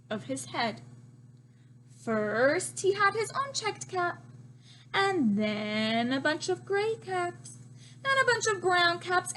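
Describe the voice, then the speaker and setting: A woman teacher reading aloud to young children, expressive and exaggerating her emphasis. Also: very high voice.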